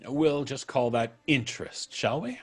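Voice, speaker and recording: A man's voice: mid-range voice, unhurried, slightly rough, soft background music. An actor playing a vengeful ex-convict blackmailer in old-time radio style, taunting and mock-polite as he threatens. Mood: taunting, menacing.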